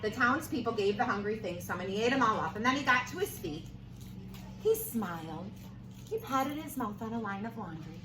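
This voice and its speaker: Animated woman reading aloud in a roomy space. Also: mid-range voice, normal speed. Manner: animated, prompting the children to answer. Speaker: teacher reading a story aloud to children. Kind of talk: expressive storybook read-aloud. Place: classroom, recorded from some distance. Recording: roomy, distant, noisy classroom recording.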